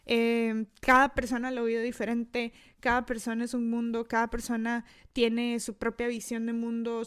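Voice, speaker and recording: A woman's voice: a little high, a bit bright. A young adult woman with a Costa Rican accent, hosting her own podcast in a reflective, self-deprecating solo talk with many fillers and restarts. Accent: Costa Rican Spanish. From Costa Rica. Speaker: podcast host. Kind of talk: casual solo monologue.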